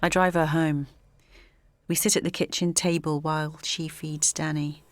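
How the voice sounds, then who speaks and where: A woman's voice: low-pitched, level tone, moderate pace, close to an everyday voice. A woman playing a protective, guarded, worldly-wise friend in a naturalistic audio drama. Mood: subdued narration.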